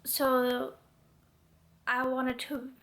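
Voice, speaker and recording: A woman's voice: high voice, monotone, extremely slow, fairly bright tone. A young woman vlogging casually to camera with a stuffy, congested voice, chatty and rambling with many fillers. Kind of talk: casual talking-to-camera vlog.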